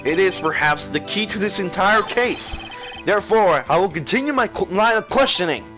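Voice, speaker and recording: A man's voice: sits high, animated, moderate pace, over music, pitched above the performer's own voice. Man playing an insistent young defense lawyer. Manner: insistent, pointed questioning. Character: earnest, dogged young male defense lawyer. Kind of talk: video game character voice-over.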